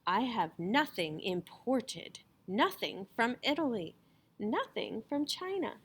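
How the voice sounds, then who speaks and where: A woman's voice: a little high, sing-song, takes it slowly, slightly rough, full character voice. A woman voicing an eager, wheedling shopkeeper, a man touting his wares in a storybook. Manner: salesman-like, eager.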